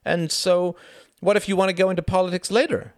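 A man's voice: high voice, flat delivery, a little fast, no echo, studio quality, pitched above the performer's own voice. A man voicing a jovial, teasing young British diplomat in a storybook, with a hearty, exclamatory and persuasive manner.

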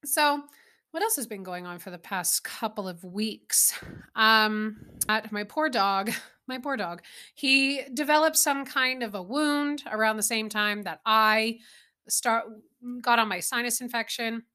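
A woman's voice: on the high side, a little slow, sharp tone, clean tone. A woman in her thirties hosting her own podcast, chatting casually and rambling with exasperated asides. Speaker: podcast host. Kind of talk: casual solo podcast chat.

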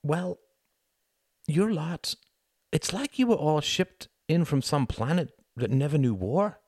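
A man's voice: on the high side, animated, slow pace, a little mellow, dry recording, clean studio sound, voice pushed up. A man voicing an earnest, sardonic young working-class man in a storybook, speaking in an emphatic, rhetorical way. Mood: probing.

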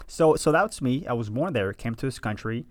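A man's voice: mid-range voice, expressive, moderate pace. A man in his thirties from Cuba, answering conversationally as an interview guest in a gracious, effusive manner. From Cuba, moved to the US at six. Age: 30s. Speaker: podcast guest, graduating medical student.

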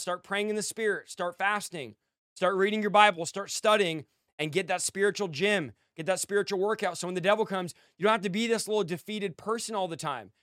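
High-pitched, fiery American male preacher. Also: a bit bright, dry recording, clean studio sound. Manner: emphatic, repetitive, punchy short commands. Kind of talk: fiery exhortation sermon.

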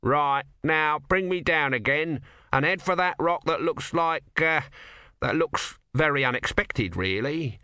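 A man's voice: on the high side, unhurried, pushed and bright, smooth, no room sound, studio quality, pitched above the performer's own voice. A man voicing a bossy, cynical small creature who is sardonic, evasive and pragmatic in an audiobook story. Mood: bossy, dry.

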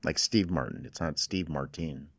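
A man's voice: very deep voice, moderate pace, slightly rough. A man co-hosting a podcast, joking crudely in casual banter. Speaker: podcast co-host.

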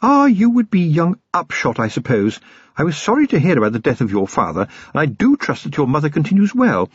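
A commanding upper-class wife, acted out by a male reader, self-assured and briskly polite. The pitch is lifted and swoops freely, with a slightly husky edge. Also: dry recording, muffled recording, heavily acted. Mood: stern, brisk. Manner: calm, confident, imperious and polite.

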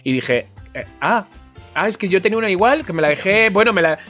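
High-pitched man chatting on a podcast. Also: lively intonation, fairly quick, pushed and bright, soft background music. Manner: colloquial, acts out quoted dialogue. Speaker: podcast co-host. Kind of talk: casual banter and anecdotes.

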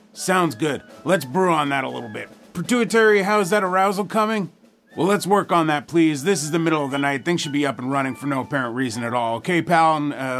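A man's voice: on the high side, voice pushed up. A man voicing a peppy, bossy brain that runs its parts like a pushy coach in a comic audio drama. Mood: cajoling. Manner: brisk, loud, cajoling pep talk.

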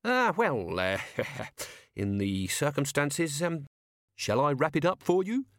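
A man's voice: fairly high pitch, sing-song, slow, a bit bright, no room sound, clean studio sound, pitched above the performer's own voice, full character voice. A man voicing a nervous, fidgety little toy maker who stammers in a shop. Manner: flustered, hesitant.

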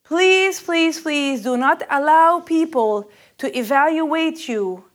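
Earnest woman preacher, rather high voice. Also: slow pace. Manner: intense and earnest, rising and louder on key questions; hesitant fillers, searching for words. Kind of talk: spoken sermon.

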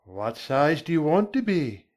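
A man voicing a curt, haughty, contradictory caterpillar in a storybook, in a slow, drawn-out, decided way. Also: on the high side, very animated, a little mellow, pitched above the performer's own voice. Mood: curt, dismissive. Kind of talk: storybook character voice.

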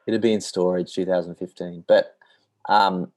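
低く単調に話すオーストラリア訛りの男性. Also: male voice, normal speed, no room sound. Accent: Australian. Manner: monotonous, low-key delivery. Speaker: podcast co-host, musician. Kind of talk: casual chat.

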